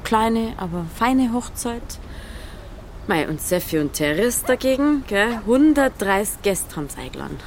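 An actress with a Bavarian accent playing a sly, good-humoured village matchmaker in a dialect radio play. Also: female voice, on the high side, very animated, measured pace, slight background noise. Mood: sly, amused.

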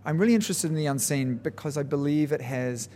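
A man's voice: on the high side, animated, fairly quick, warm tone. A man with a New Zealand accent answering as an artist in an interview. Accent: New Zealand English.

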